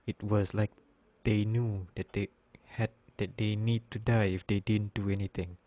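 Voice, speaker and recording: A man reading scripted story narration as narrator, halting now and then as he slips over words. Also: fairly low pitch, little pitch movement, mellow. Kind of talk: scripted narration, read aloud.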